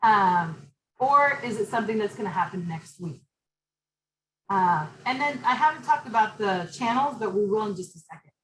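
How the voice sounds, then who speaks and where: A middle-aged woman teaching a college class, talking loudly and directly in a chatty way. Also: mid-range voice, lively intonation, moderate pace, echoey room, slight background noise, poor audio. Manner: direct, talkative, loud. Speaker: college instructor. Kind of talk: online class lecture.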